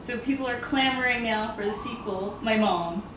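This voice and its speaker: A woman's voice: on the high side, moderate pace. Woman author, earnest and reflective, laughs easily; distant mic, roomy, noisy room. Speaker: author interviewed about her novel. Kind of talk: casual interview conversation.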